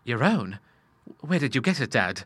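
A man's voice: medium pitch, sing-song, moderate pace, fairly bright tone, no echo, studio quality. A man voicing an earnest, honourable grown son burdened by debt, speaking with feeling in a story reading. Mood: astonished. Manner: earnest, emotive.

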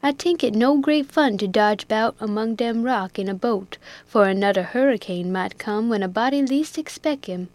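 A good-natured ship's steward drawls and moralizes in a thick rustic dialect, as a woman reader puts him on. His voice sits a little high and lively. The sound is dry and close. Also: normal speed, few pauses, no echo, natural voice. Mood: folksy.

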